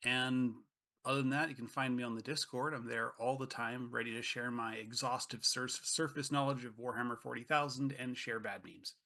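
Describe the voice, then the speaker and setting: Bright, forceful man, dryly joking. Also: mid-range voice, steady tone, moderate pace. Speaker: game master and player on gaming livestream. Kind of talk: casual chat, self-introduction.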